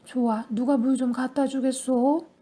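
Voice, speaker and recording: A woman voicing a demanding old grandfather who gives orders slowly in an audiobook story. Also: sits high, fairly even intonation, warm tone.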